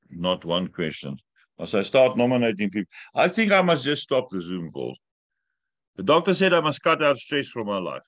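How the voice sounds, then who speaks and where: A man's voice: mid-range voice, a bit bright, no room sound. Talkative man hosting a video call. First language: not English. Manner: talkative, joking, instructive. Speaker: host of a weekly update call. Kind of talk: casual video call chat. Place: room at home.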